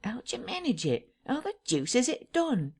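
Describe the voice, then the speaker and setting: A woman's voice: huge pitch swings, moderate pace, a little mellow, husky, heavily acted. A woman reading an audiobook voices a bewildered, easily rattled male tramp who exclaims in slang. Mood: astonished. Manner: exclaiming, slangy, high and drawn out. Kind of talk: audiobook character voice.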